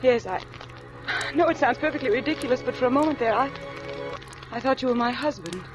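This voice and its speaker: Casting: gentle widowed young mother, fairly high voice, courteous and fragile, old radio drama with music. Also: lively intonation, brisk, poor audio. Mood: wistful, sad. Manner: polite, apologetic, hesitant. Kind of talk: 1940s radio acting.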